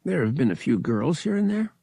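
A man's voice: on the high side, big pitch swings, brisk, gravelly, warm tone, husky, no echo, clean studio sound, pitched above the performer's own voice, full character voice. A man playing a wry, casual young space pilot in an audiobook, with slangy, slightly hesitant talk. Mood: wistful.

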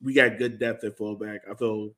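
A man's voice: on the low side, level tone, moderate pace, a bit bright. A man co-hosting a soccer fan show, talking casually and putting questions out for discussion. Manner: conversational, poses questions to co-host. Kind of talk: casual sports chat.

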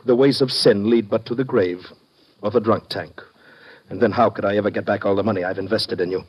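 Pompous, preachy moneylender, low voice. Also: male voice, fairly even intonation, brisk, slightly rough, lo-fi sound. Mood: preachy, pious. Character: sanctimonious moneylending little gent, preachy and sly. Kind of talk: old-time radio drama acting.

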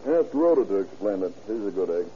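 Muffled radio with faint noise opens onto a police captain. His voice is low, and he gives orders in a brisk, businesslike manner. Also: very animated. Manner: businesslike, directive. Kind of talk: old-time radio acting.

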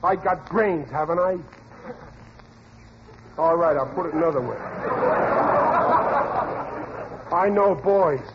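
A man's voice: a little high, a little slow, close to an everyday voice. An actor playing a blustering, stubborn, bumbling father in the exasperated style of 1940s radio comedy. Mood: confident. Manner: exasperated, blustery, muddled sayings. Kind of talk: 1940s radio sitcom acting. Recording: dull, noisy old radio recording.

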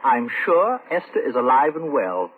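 An actor playing an evasive, genteel British shopkeeper in an old radio drama, speaking in a prim, formal, aggrieved way. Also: male voice, mid-range voice, sing-song, moderate pace, husky, narrow, tinny audio. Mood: prim, indignant. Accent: British English. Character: evasive, genteel shopkeeper husband suspected of murder, male. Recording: thin, noisy old radio recording.

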